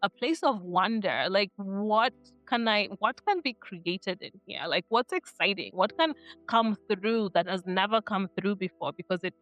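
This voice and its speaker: A woman's voice: mid-range voice, unhurried, fairly bright tone, dull audio, soft background music. A middle-aged woman from Kenya giving an earnest, reflective talk to camera, often restarting her phrases and checking in with "right?". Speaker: vlogger.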